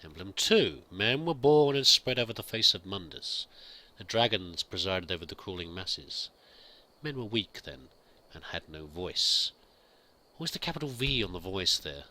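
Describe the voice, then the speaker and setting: A man commenting on his roleplay gameplay, with a very bright, sharp voice that swings lively up and down in pitch. He muses aloud in a chatty way and reads game text as he goes. A faint noise sits under the voice. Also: normal speed, low-quality recording. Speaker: let's play host.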